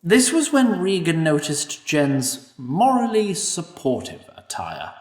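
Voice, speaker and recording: A male narrator who takes his time, his voice rising and falling in a lively way. He sits a bit back from the mic in a roomy space that echoes. The delivery is scripted. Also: fairly high pitch, takes it slowly. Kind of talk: scripted audio-drama narration. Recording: echoey room, distant mic.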